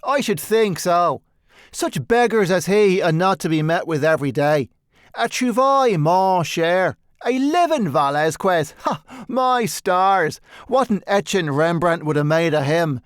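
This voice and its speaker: A man reading a storybook voices a brash, rough male painter with an emphatic, declaiming manner. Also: high voice, expressive, a little slow, no room sound, studio quality. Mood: excited.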